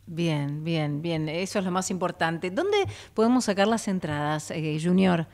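A woman's voice: lowish voice, a little slow, a little mellow. A woman in her thirties from Argentina with a Rioplatense accent, hosting a live radio interview in a small studio, friendly, with many short affirmations. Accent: Rioplatense Spanish. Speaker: radio host. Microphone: studio mic on a boom arm.

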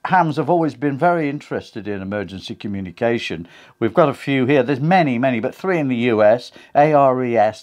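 A man's voice: mid-range voice, a little mellow. A middle-aged British man in his fifties, hosting a casual talk to camera about radio, recorded on a lapel mic in a small home studio. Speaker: video host. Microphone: clip-on lapel mic.

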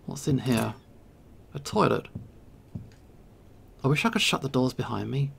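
A man giving casual let's play commentary, thinking aloud in short, halting remarks. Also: mid-range voice, sing-song, gravelly, fairly bright tone, husky. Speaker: video game player and host.

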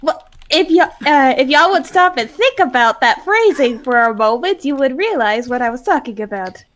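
Flirty teasing woman on a casual group call, high voice. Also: expressive, normal speed, a bit bright.

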